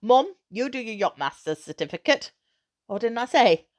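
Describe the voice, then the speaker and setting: A woman voicing an excited father in a storybook, speaking with breathless, emphatic enthusiasm about his big plans. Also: fairly high pitch, moderate pace, voice pushed up, close to an everyday voice. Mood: breathless excitement. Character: excited, eager father with big sailing dreams. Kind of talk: acted dialogue in a children's novel reading.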